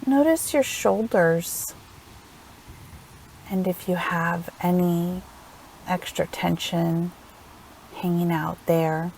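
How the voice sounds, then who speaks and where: A woman leading a guided meditation in a gentle, soothing manner, unhurried and leaving long pauses between phrases. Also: fairly low pitch, vocal fry, slight background noise, rough recording. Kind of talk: guided meditation, slow instructional narration.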